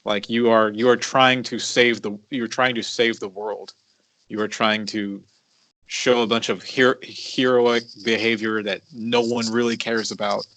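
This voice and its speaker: A man's voice: medium pitch, flat delivery, fairly bright tone, dry recording, low-quality recording. Reflective man in his thirties, dry sound. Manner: halting, deliberate delivery with emphatic repetition. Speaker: podcast co-host. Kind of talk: reflective, confessional conversation.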